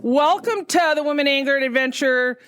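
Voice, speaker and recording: A woman's voice: high-pitched, fairly quick, a bit bright, no room sound, studio quality. A woman hosting a casual radio interview, talking in a chatty, rambling way with an Upper Midwest American accent. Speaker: radio show host.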